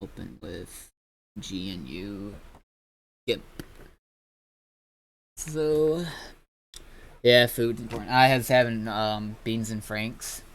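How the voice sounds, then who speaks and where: A man with a fairly low voice talks as the host of a gaming livestream. He is informal and sweary, rambling from point to point as he chats. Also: slow pace, pauses often, a little hiss or hum, low-quality recording. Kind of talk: casual gaming stream chat.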